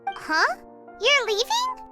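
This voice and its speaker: Squeaky, excitable little fairy companion. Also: female voice, takes it slowly, music in the background, full character voice. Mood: surprised. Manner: refers to self in third person, exclamatory. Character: small childlike chirpy fairy companion, female, excitable. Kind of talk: English video game voice acting.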